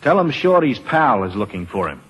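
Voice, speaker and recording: A man playing a tough, suspicious American loner with a clipped, gruff way of talking in a 1940s radio drama. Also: medium pitch, sing-song, moderate pace, a bit bright. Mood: hard, menacing. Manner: clipped, gruff, brusque orders. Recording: old radio broadcast, long reverb.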